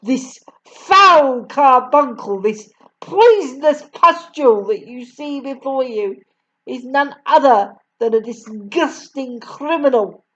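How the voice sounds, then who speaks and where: A woman voicing a bullying, brawny headmistress who barks and shouts insults, pitched well above her own reading voice. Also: highs cut off, exaggerated voice.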